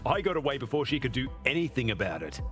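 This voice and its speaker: An actor playing a cocky, roguish outlaw space captain in a video game, with a flippant, casual way of talking. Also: male voice, medium pitch, big pitch swings, quick pace, faint music behind, lightly acted. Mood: cocky, puzzled. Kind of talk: scripted video game voice acting.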